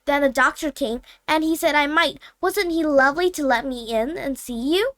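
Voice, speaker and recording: A woman's voice: sits high, normal speed, fairly bright tone, no room sound, voice pushed up. A woman voicing a cheerful, chatty little girl from a storybook, eager and earnest, rambling and correcting herself. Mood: eager, delighted. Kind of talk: storybook character voice.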